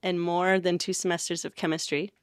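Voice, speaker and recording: A woman's voice: lowish voice, level tone, a little fast, smooth, dry recording, studio quality. An American woman in her thirties from Connecticut, telling her own story casually as an interview guest. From Connecticut, USA. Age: 30s. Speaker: podcast guest, campus ministry worker.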